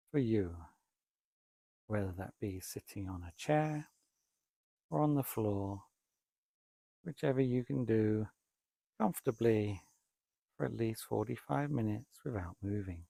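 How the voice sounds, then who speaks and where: A man with a lowish, creaky voice guides a meditation in a calm, unhurried way. He speaks very slowly, leaving space between short phrases, and the sound is close and dry. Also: no room sound. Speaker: meditation teacher, Buddhist monk.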